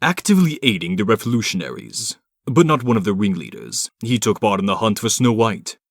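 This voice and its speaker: A man's voice: fairly low pitch, very animated, fairly quick, no echo, studio quality. A man playing a stern, menacing, imperious villain in a comic dub. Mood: official.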